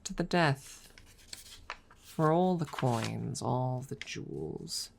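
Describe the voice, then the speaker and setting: Woman acting as a proud dragon rival, low voice, slightly creaky, haughty teasing, soft ASMR roleplay delivery. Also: lively intonation, a little hiss or hum, heavily acted. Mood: ominous, calm. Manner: haughty, measured, teasing, with soft whispered moments. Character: proud, teasing dragon rival hiding affection, hoarder of treasure.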